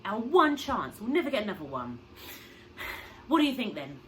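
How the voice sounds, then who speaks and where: A woman voicing an excitable, persuasive father from a children's story, breathless and emphatic with big plans. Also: mid-range voice, unhurried, slight background noise, rough recording. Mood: persuasive, excited.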